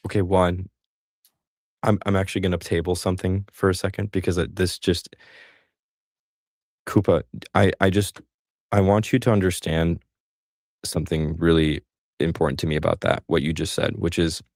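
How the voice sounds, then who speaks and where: A man with a very low, gravelly voice hosts a live call-in stream. He talks casually, joking and poking fun at himself. The sound is dry and close. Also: steady tone, normal speed, pauses often, darkish tone, dry recording. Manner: chatty, self-deprecating, joking. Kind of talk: casual chat.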